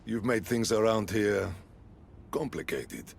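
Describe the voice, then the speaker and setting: An actor playing a proud but troubled dead Spartan general in a video game, earnest and solemn. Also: male voice, on the low side, lively intonation, slow pace, creaky, a little hiss or hum, heavily acted. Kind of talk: dramatic video game acting.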